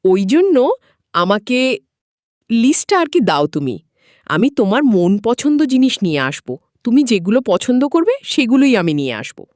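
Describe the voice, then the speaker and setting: Woman voicing a coaxing older father-in-law, very animated. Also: on the high side, normal speed, no echo, clean studio sound, pitched above the performer's own voice. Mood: coaxing, familiar.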